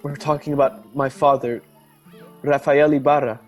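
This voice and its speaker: An actor playing an earnest, courteous young gentleman in a radio drama, troubled and polite. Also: medium pitch, steady tone, normal speed, faint music behind, close to an everyday voice. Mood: shaken, earnest.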